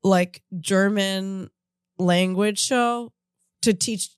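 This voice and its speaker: A woman's voice: mid-range voice, fairly even intonation, extremely slow, smooth, no room sound, clean studio sound. A woman in her thirties who grew up in Japan, a comedian guest telling stories in a rambling, self-interrupting way with frequent laughs. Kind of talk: casual podcast conversation. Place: in-studio podcast.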